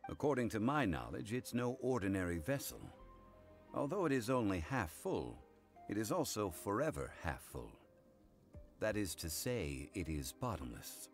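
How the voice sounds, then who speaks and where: Very deep, dignified scholarly man. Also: sing-song. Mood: composed, formal. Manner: formal, measured, unhurried. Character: calm, dignified, scholarly male consultant and negotiator. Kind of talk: anime game voice acting. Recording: music behind the voice.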